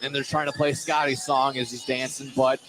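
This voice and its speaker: A man doing live play-by-play commentary of a high school basketball game from inside a gym. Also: flat delivery, fairly quick, over music. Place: indoor gymnasium commentary position.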